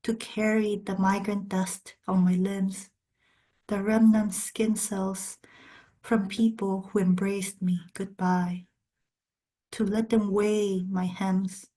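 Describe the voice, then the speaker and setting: Slow-paced woman reading poetry. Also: medium pitch, dark tone. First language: Spanish and Korean. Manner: measured, deliberate, pausing between short phrases. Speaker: poet. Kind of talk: poetry reading with brief spoken introductions.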